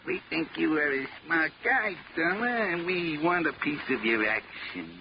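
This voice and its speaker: A man's voice: on the high side, lively intonation, normal speed, vocal fry. An actor playing a dim-witted, boastful gangster thug in a 1940s radio drama, talking in tough-guy slang and losing his train of thought. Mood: scheming. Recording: lo-fi noisy 1940s radio recording.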